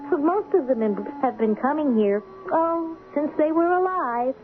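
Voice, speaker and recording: An actress playing a lively, questioning teenage high school girl in a radio drama, expressive and wry. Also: unhurried. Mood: breezy narrating. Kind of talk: 1970s radio drama acting with first-person narration. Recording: muffled lo-fi radio recording with hiss.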